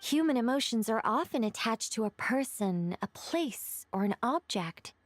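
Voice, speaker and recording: A woman playing an ancient female angel in a video game, eloquent and wistful, speaking in a measured storytelling way. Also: a little high, animated, dry recording. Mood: wistful, reflective.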